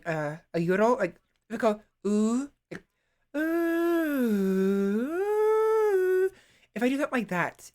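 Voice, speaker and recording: A woman streamer chatting casually into a desktop microphone, rambling and halting, often restarting her sentences and poking fun at herself. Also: mid-range voice. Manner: self-deprecating. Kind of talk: casual live-stream chat.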